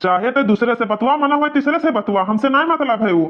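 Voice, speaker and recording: A man's voice: extremely high pitch, animated, fairly quick. A man playing an aggrieved young village farmer in an Awadhi cartoon comedy, with an Awadhi accent and a whining, argumentative manner. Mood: angry, quarrelsome. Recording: dull, lo-fi cartoon audio.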